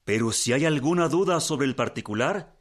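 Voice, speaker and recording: An actor playing a composed revolutionary general who declares his position in a measured, formal way in a radio drama. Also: mid-range voice, expressive, close to an everyday voice. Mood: dignified.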